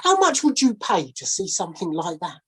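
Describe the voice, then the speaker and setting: A woman's voice: fairly low pitch, very animated, a little fast, a bit bright, husky, voice pushed up, full character voice. A woman voicing a shabby, down-on-his-luck male ex-sailor who coaxes and wheedles. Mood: salesy.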